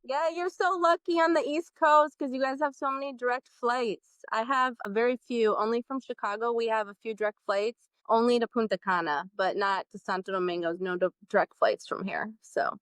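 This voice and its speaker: A woman guest on a podcast talking about her group trips, friendly and eager, now and then pausing with an um. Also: on the high side, fairly even intonation, a bit bright, no echo. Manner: friendly, eager, a bit hesitant with ums. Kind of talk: casual interview chat.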